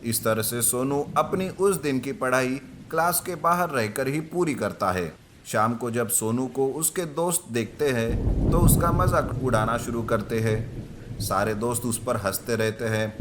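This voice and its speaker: A man's voice: mid-range voice, expressive, a little slow, heavy background noise. A man narrating a scripted children's moral story as a storyteller.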